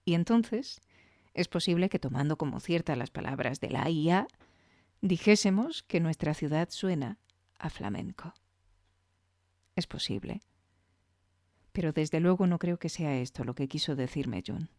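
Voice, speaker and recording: A woman hosting a podcast, speaking intimately and deliberately in a reflective scripted monologue to the listener. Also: deep voice, expressive, a little mellow, dry recording, clean studio sound. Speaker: podcast host.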